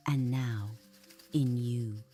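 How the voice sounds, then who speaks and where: Woman as a gentle magical eagle, mellow and slow. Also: rumbling low, fairly even intonation, slightly rough, soft background music, pitched below the performer's own voice, exaggerated voice. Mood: tender. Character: luminous female hawk eagle, gentle magical guide.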